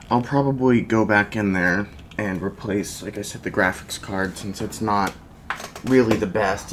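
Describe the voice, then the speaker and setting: A soft-spoken repair technician in an ASMR roleplay, talking slowly with a creaky, gravelly edge. The murmured, hesitant step-by-step narration swings up and down in pitch. A steady fan hum and small clicks sit clearly behind the voice. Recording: constant fan noise, clicks and chair creaks. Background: computer fan hum, clicking and typing.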